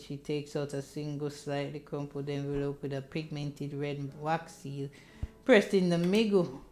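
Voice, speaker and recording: A woman's voice: deep voice, darkish tone, slight background noise. A woman streamer reading a visual novel aloud, with dramatic narration broken by casual asides.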